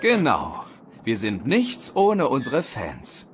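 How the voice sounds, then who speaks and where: A man voicing a confident, friendly young race car in a German-dubbed animated cartoon, with enthusiastic sporting banter. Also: mid-range voice, takes it slowly, a little hiss or hum. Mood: easygoing.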